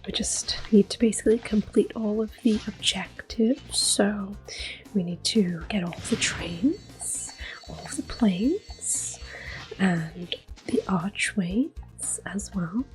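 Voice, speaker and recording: A woman speaks in a hushed, breathy voice that often slips into a whisper, at a slow and gentle pace. She is hosting an ASMR video game playthrough in a soft-spoken style, with music quietly playing behind her. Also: medium pitch, animated, rough recording. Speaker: gaming video host.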